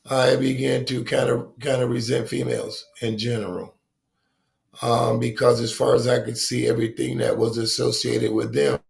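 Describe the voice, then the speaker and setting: A man around sixty with a deep voice, telling his recovery story as a guest in a reflective, halting way with many pauses and ums. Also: gravelly. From Rochester, New York, USA.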